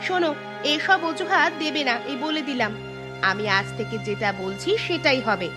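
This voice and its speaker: A woman plays a short-tempered, scornful village wife in a cartoon. Her voice is high and insistent, always scolding. Music plays clearly underneath. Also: big pitch swings, normal speed. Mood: angry, demanding. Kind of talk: Bengali cartoon dubbing.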